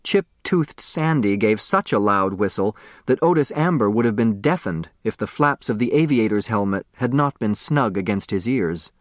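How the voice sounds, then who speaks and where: Male narrator for fiction: expressive storytelling, crisp phrasing, very dry sound. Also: on the low side, moderate pace, few pauses, no echo. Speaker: audiobook narrator.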